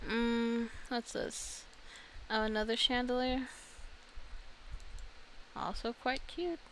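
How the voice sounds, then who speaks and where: Unhurried woman chatting through game commentary, noisy recording. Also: on the high side, steady tone, a bit bright. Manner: chatty, thinking aloud, reacting to what she finds. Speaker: video game streamer and host. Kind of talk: casual game commentary, browsing items aloud.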